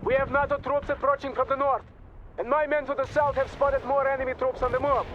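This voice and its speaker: An extremely high-pitched male voice cuts through loud battle noise: a fanatical, hot-headed Soviet captain in a war game. He has a Russian accent and reports with forceful, defiant urgency. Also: little pitch movement, a little fast, muffled recording. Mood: urgent. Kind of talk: scripted video game military radio dialogue. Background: artillery fire, gunfire and vehicles.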